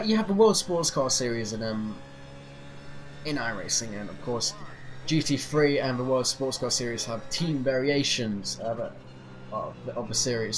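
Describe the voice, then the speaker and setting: Man, stuffy nose from a cold, rambling, hesitant, mid pitch, racing game engine droning behind. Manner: rambling, hesitant, many fillers and restarts. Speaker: sim racing channel host. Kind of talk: casual rambling commentary while driving a racing sim. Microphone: off-center desk mic. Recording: clear sim engine noise behind. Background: racing game engine noise.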